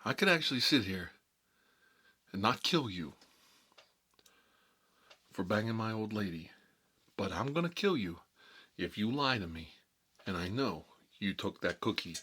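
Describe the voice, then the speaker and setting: A gruff mob boss played by a man holds forth in a comic ASMR-style monologue. His husky voice sits fairly low but swings widely as he rambles, repeats himself and needles his listeners with menacing, sardonic mock threats. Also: big pitch swings, measured pace, pauses often, bright, forceful tone, exaggerated voice. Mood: accusing, threatening.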